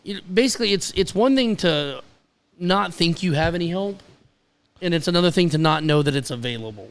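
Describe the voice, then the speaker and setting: A man's voice: fairly high pitch, lively intonation, brisk, clear, resonant tone. A man with a Southern American accent, co-hosting a casual radio podcast with teasing, upbeat banter. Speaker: podcast co-host.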